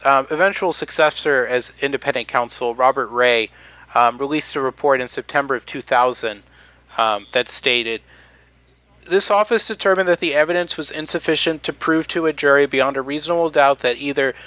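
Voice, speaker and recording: Halting man with a bright voice. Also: mid-range voice, no room sound, highs cut off. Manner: hesitant, many "um"s and restarts. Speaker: podcast host presenting research. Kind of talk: casual podcast chat.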